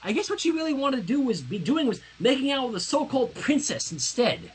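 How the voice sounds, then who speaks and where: Jealous schoolgirl played by a story reader, high, husky, dramatic and wounded. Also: very animated, fairly quick, exaggerated voice, poor audio. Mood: indignant. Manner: pleading, indignant, dramatic. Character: jealous, hurt, lovesick young student girl.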